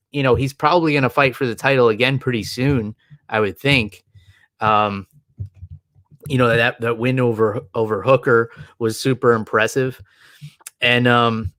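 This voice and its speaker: A man's voice: mid-range voice, a bit bright, no room sound. A man co-hosting a casual sports podcast, rambling with lots of fillers and restarts. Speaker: podcast co-host. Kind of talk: casual chat.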